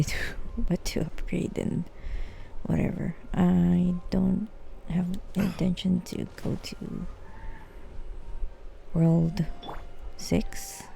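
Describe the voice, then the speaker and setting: A woman's voice: lowish voice, creaky, mellow, a little hiss or hum. A woman chatting casually on a live game stream, rambling and thinking aloud in a hesitant way. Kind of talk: casual live stream chat while gaming.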